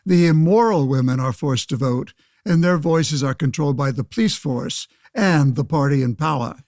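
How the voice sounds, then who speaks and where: An actor playing a pompous, self-righteous 1911 state senator in a radio play, speaking in formal, declamatory phrases. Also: male voice, fairly high pitch, moderate pace, darkish tone, dry recording, clean studio sound, close to an everyday voice. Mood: smug, insistent. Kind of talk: pseudo-radio play interview and oratory.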